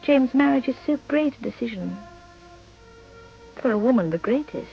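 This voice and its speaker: A woman's voice: on the high side, lively intonation, normal speed, vocal fry, dull audio, faint music behind. An actress playing a decorous, determined young well-born Englishwoman in a period radio drama, with refined, formal English diction. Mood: polite, hesitant.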